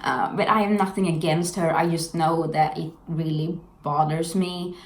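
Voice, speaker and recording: A woman's voice: deep voice, steady tone, normal speed. A young woman from Sweden with a Swedish accent, giving candid, blunt personal commentary in a vlog. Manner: frank, blunt, earnest. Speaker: vlogger. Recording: echoey room with faint noise.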